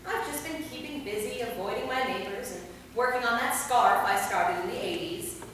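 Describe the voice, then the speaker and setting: A woman playing a warm, chatty centuries-old vampire in a staged play reading, conversational and gently probing. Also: fairly high pitch, normal speed, close to an everyday voice. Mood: chatty, teasing. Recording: echoey hall, distant mic, faint noise.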